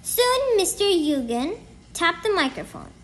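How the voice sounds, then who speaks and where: A woman's voice: very high voice, sing-song, unhurried, a bit bright. A woman reading a children's storybook aloud in a careful, word-by-word way. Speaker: storybook reader. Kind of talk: children's book read-aloud.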